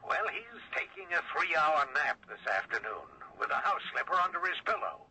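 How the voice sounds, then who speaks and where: A man playing a persuasive American prosecutor over the telephone in a radio drama, speaking haltingly and coaxingly. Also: a little high, sing-song, a crowd in the background, poor audio. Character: coaxing male prosecutor pressing a sheriff. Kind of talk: 1980s radio drama acting.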